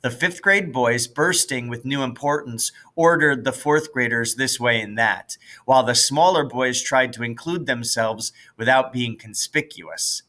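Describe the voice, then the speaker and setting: An American man narrates a storybook in a bright, forceful voice of medium pitch. He takes his time, reading at a slow, measured pace. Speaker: audiobook reader, teacher.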